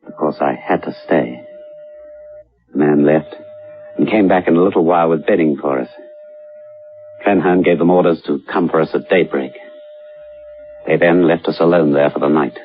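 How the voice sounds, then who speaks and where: An actor playing a sober, uneasy British gentleman telling a sea horror tale on radio, with measured, deliberate phrasing. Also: a bass voice. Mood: grave, uneasy. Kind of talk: dramatised first-person radio narration and dialogue. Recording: dull radio recording, faint music.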